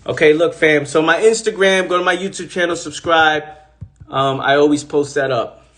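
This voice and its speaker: A high-voiced man speaks into his phone on a livestream, animated and repetitive. His talk is casual and motivational, with loose swearing. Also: normal speed. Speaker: host of an Instagram live.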